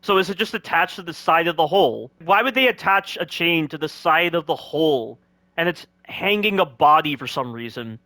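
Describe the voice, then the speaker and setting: A man's voice: a little high, animated, moderate pace, sharp tone, no echo. A man giving critical voice-over commentary on game cutscenes, asking one rhetorical question after another.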